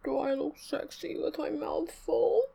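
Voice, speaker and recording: Girl doing a young elf girl, breathy and begging, lots of whispering, high voice, slow, kinda gravelly. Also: warm tone, heavily acted. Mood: breathless pleading. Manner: breathy, pleading, often whispered. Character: young female elf, eager and submissive. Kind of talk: intimate adult audio roleplay.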